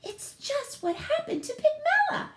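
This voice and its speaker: A woman voicing an excitable farmer's wife in a storybook fairy tale, shrill and excited. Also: measured pace, roomy sound, exaggerated voice, poor audio. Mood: excited realisation.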